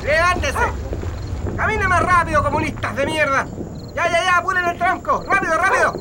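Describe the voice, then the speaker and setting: Over loud engine noise, a brutal Chilean soldier in a radio drama. His voice is strained very high as he barks short, bullying orders at prisoners. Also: moderate pace. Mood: furious, abusive. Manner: shouted, curt orders. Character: brutal barking military guard bullying prisoners.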